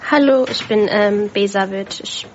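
A woman from Ethiopia presenting on the radio, earnest and slightly halting. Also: mid-range voice, a little hiss or hum. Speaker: guest presenter. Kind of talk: casual radio talk. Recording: dull lo-fi sound with faint background.

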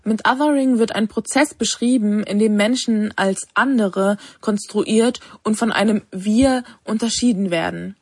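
A woman reading a scripted explainer in a measured, informative tone. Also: mid-range voice, slightly rough, dry recording.

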